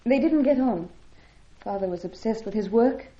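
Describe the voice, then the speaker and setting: A dulled old radio drama recording. An actress plays a naive young woman, her voice fairly high yet soft-toned. She is genteel, helpless and fretful, earnest in every line. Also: lively intonation, normal speed, highs cut off, natural voice. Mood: wistful, demure. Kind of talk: old-time radio adventure serial acting.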